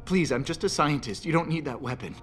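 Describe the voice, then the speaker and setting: A man's voice: on the high side, fairly quick, fairly bright tone, natural voice. An actor playing an anxious, timid captive male scientist in a video game, speaking in a hesitant, faltering way. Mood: frightened, pleading. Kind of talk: video game cutscene dialogue. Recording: roomy game cutscene with music. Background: background music.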